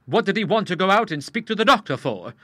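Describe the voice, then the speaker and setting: A man's voice: sits high, big pitch swings, quick pace, a bit bright, no echo, clean studio sound, pitched above the performer's own voice, full character voice. A man voicing an earnest, plain-spoken young man who is suspicious, in an audiobook. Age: young adult.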